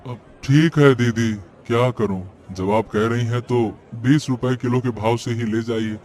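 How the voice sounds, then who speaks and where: A man's voice: medium pitch, steady tone, measured pace, a little mellow, close to an everyday voice. An actor playing a humble, earnest working man in a cartoon story, speaking politely in a coaxing, pleading way. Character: earnest humble male vegetable seller and eatery cook, pleading. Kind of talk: Hindi cartoon story voice acting. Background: background music.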